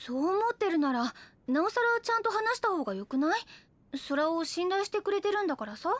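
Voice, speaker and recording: A woman's voice: extremely high pitch, very animated, a little fast, dry recording. An actress playing an easygoing, affectionate young woman in an anime audio drama, talking in a frank, friendly, casual way. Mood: frank, gentle. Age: young adult.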